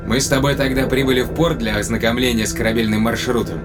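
An actor playing a tender but probing spaceship captain in a Russian audio drama, speaking conversationally with deliberate pauses. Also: male voice, mid-range voice, fairly even intonation, music in the background, lightly acted. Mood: gentle, coaxing. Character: male spaceship captain, long-lived, tenderly probing his companion.

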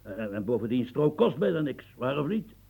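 An actor in a radio play as a grumbling old French marquis and former soldier, husky, stammering and repeating himself as he muses. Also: medium pitch, big pitch swings, normal speed, warm tone. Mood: rambling, nostalgic. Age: older. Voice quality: gravelly, husky. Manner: stammering, repetitive, musing, earthy, prods with "huh". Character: rambling, grumbling old French marquis, retired military officer.

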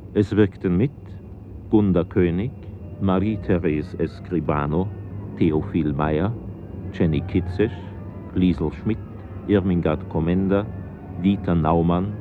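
A man reading radio play credits formally as an announcer. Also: deep voice. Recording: phone-like narrow band, music behind. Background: music.

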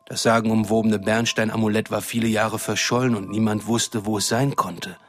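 Man acting a coldly menacing, smooth-talking villain. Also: lowish voice, flat delivery, fairly quick, faint music behind, lightly acted. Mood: condescending. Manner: smooth-talking, taunting, threatening. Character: menacing, condescending male shapeshifter villain, coldly cruel. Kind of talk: German radio drama acting.

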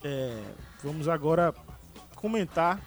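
A man's voice: a little high, lively intonation, slow pace, soft background music. A man hosting a casual podcast about films, talking with many fillers and restarts. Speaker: podcast host. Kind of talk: casual movie chat.

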